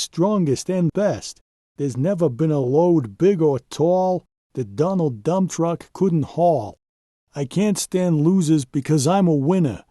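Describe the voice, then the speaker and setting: A man's voice: on the high side, mellow, dry recording, studio quality, pitched above the performer's own voice. A man voicing a boastful dump truck, Donald. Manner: brash, bragging, rhyming sing-song. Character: boastful bossy male cartoon dump truck.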